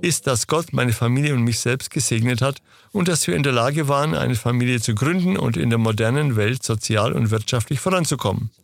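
A man answering interview questions in a steady, lecturing manner. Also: mid-range voice, fairly quick, creaky, no echo. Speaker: interview guest.

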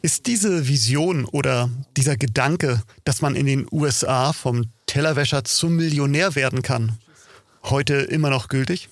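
A man's voice: mid-range voice, unhurried. A male interviewer asking short, probing questions.

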